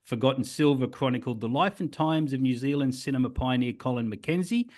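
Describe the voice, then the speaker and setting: A man hosts a podcast interview, sounding enthusiastic and admiring as he introduces and questions his guest. His voice is a man's ordinary middle pitch. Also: brisk, darkish tone. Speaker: podcast host.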